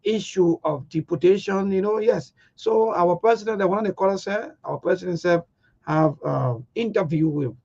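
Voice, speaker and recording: A man's voice: high voice, normal speed, darkish tone. A man hosting a live call-in talk show in a casual way. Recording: dull lo-fi stream audio.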